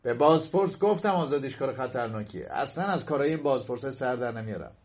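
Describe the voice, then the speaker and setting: A man's voice: medium pitch, animated, voice pushed up, natural voice. A man voicing a hostile, scornful young male student, on a dull recording.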